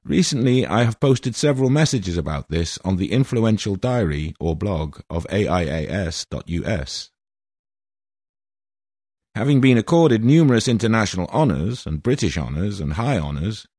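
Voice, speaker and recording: A man in his sixties from Wales delivers a prepared statement in a formal, grandiose and aggrieved manner. His voice sits somewhat low, and the recording is dry and close with no room sound. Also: big pitch swings, moderate pace, a little mellow, no room sound. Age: 60s. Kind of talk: prepared statement read aloud.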